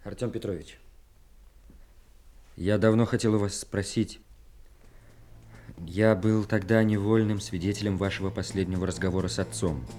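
An actor playing an earnest, respectful young officer son in a Soviet radio drama. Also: male voice, lowish voice, fairly even intonation, moderate pace, long pauses, darkish tone, close to an everyday voice. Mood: serious, questioning.

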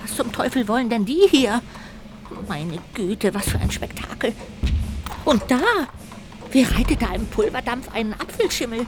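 A woman's voice: on the high side, animated, moderate pace, background noise, natural voice. A woman voicing a small, mischievous castle ghost in a radio play, indignant and fond of ghostly hooting. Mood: agitated.

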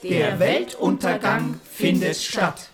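An actress in a German radio play as an imperious doomsday manager, making demands and announcements. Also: female voice, lowish voice, lively intonation. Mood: demanding. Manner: brisk demands, slogan-like announcements. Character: imperious female apocalypse manager. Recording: loud music behind.

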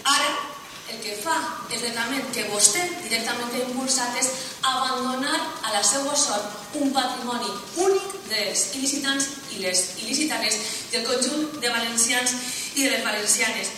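A woman makes a council speech as an opposition councillor, reproachful and deliberate. Her voice is high and distant. Long hall reverb and noise follow her. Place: council chamber.

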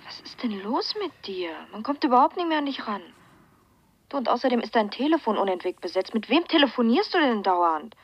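A woman's voice: fairly high pitch, animated, brisk. A woman playing a teasing, affectionate but nagging girlfriend in a radio drama, with a familiar, reproachful way of talking. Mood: reproachful, concerned. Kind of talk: German radio crime drama acting. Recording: slightly dull, dry radio recording.

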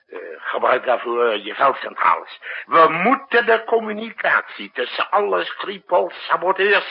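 An actor playing a commanding, harried state leader with a brisk, imperious manner in a 1960s radio drama. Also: male voice, medium pitch, vocal fry, breathy edge, full character voice. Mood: urgent, commanding. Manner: brisk, imperious, muttering to himself between orders. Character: commanding, harried male state leader issuing urgent emergency orders. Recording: dull, muffled 1960s radio recording.

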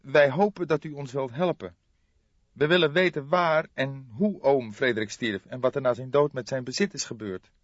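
A man reading an audiobook, voicing a distraught, hesitant younger man who pleads for help in a halting, emotional, trembling way. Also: medium pitch, dry recording, highs cut off, pitched above the performer's own voice, lightly acted. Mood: anxious, pleading. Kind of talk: audiobook character voice.

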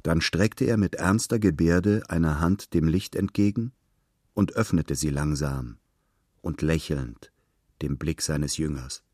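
Deep-voiced man narrating literature. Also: slow, a little mellow, dry recording, studio quality.

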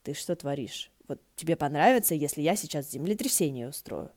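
Woman reading as a grumpy, dark-toned male deity. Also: sits low, animated, a little fast. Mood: irritated.

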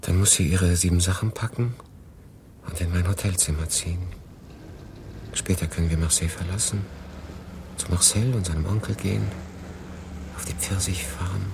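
A man's voice: sits low, steady tone, normal speed, long pauses, darkish tone, a little hiss or hum. An actor playing a melancholy, detached male refugee who narrates his story in a pensive, understated radio drama style. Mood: quietly longing. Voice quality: husky.

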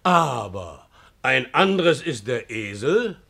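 An actor playing a stubborn, plain-spoken donkey driver in a radio play, pleading and obstinate in an earthy way. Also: male voice, medium pitch, big pitch swings, slow, gravelly, bright, forceful tone, heavily acted. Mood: aggrieved, insistent. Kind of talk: theatrical radio play acting.

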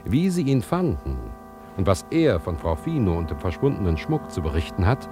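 A man's voice: deep voice, big pitch swings, normal speed. An actor playing a knowing male storyteller who narrates a scripted radio drama to his audience, with guitar music behind. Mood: teasing, presentational.

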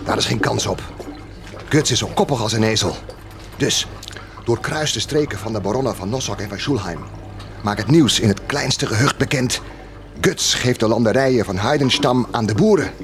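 A man's voice: medium pitch, normal speed, lightly acted. An actor playing a bitter, commanding, sardonic man in a Dutch radio play. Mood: urgent, scheming. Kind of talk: Dutch radio play acting, live broadcast.

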